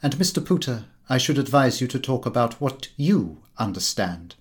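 A man's voice: mid-range voice, expressive, normal speed, natural voice. A British man voicing a pompous, impertinent young male amateur actor with a haughty, lecturing manner, in an audiobook.

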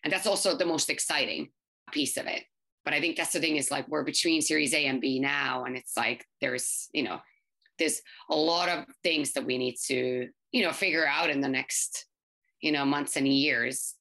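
Low-voiced woman founder, bright tone. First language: not English. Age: 30s. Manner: fast, filler-heavy, many restarts. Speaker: startup founder. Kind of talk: casual interview answers.

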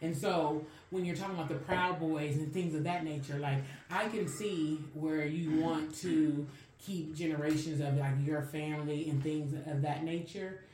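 A woman's voice: low voice, fairly even intonation, moderate pace, a little mellow. Earnest woman, distant roomy sound. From Chicago. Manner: earnest, reflective, speaks in long winding sentences. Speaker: podcast co-host. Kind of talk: casual podcast conversation. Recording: roomy and distant from the mic, faint noise.

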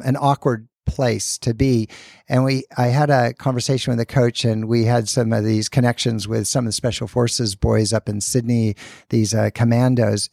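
A man with a gravelly voice telling stories from his sports science work. He sounds earnest and thoughtful, and he often restarts his sentences. The recording is dry and close, with no room sound. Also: medium pitch, a little mellow, dry recording. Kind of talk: conversational storytelling.

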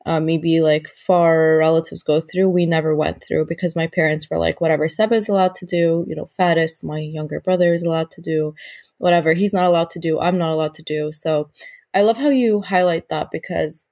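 A woman's voice: low-pitched, fairly even intonation, brisk, a little mellow. A Jordanian American woman hosting a casual interview, enthusiastic and chatty, with plenty of "like" fillers. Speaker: podcast host interviewing a guest.

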